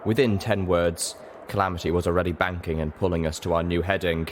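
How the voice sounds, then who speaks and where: A man's voice: deep voice, steady tone, a little fast. A man reading a story aloud in dramatic, scripted first-person narration. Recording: faint background noise.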